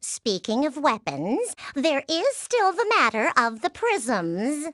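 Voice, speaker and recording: Cartoon game alien gem subordinate: high and very animated, prim, fussy, eager, theatrical and militant. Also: female voice, takes it slowly, dry recording, clean studio sound, heavily acted. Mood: officious. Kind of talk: animated game cut-scene acting.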